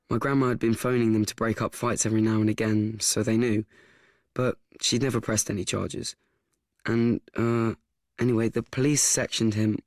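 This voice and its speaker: A man's voice: mid-range voice, a little mellow, no echo, natural voice. Dry, close-up awkward schoolboy played by a man. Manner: hesitant, rambling, self-conscious. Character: awkward, nervous, earnest teenage schoolboy. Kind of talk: radio play acting with confiding narration.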